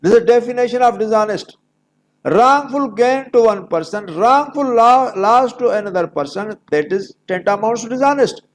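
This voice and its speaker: High-pitched Pakistani man lecturing on law. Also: expressive, extremely slow. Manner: emphatic, repeats key phrases, mixes in English legal terms. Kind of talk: explanatory lecture mixed with reading legal text.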